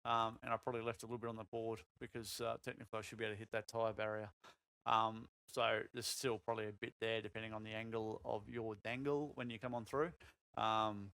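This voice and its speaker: Croaky man coaching a lap. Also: on the low side, quick pace, no room sound. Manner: relaxed, filler-heavy explaining with many "um"s. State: voice getting croaky. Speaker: sim racing coach. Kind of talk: casual lap commentary.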